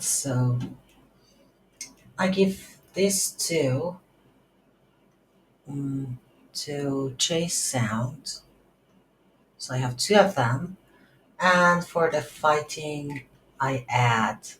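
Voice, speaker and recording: A woman giving an unscripted screen-recorded coding tutorial, thinking aloud in halting short phrases. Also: low voice. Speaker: tutorial instructor. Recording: roomy echo, audible background noise.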